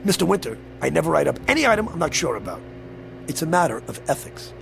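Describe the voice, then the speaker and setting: A man's voice: on the high side, sing-song, fast, gravelly. An actor playing a sly, genial, mysterious newspaperman in a radio drama, wheedling and courtly, stammering when pressed. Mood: feigned innocence. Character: sly, genial, mysterious male newspaper reporter and linotype operator. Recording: radio drama with music underneath.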